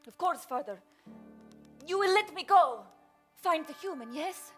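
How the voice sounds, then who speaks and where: A woman's voice: extremely high pitch, expressive, a little slow, a bit bright, soft background music, full character voice. A woman playing a gushing, sheltered young vampire daughter in improvised comedy, with melodramatic, formal phrasing. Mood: pleading. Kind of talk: improvised comic stage acting.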